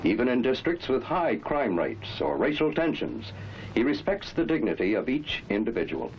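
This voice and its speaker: A man's voice: mid-range voice, big pitch swings, moderate pace, slight background noise, rough recording. A man narrating a 1960s instructional film in an emphatic, authoritative, rhetorical manner. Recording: old 1960s film soundtrack, loud music. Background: loud music.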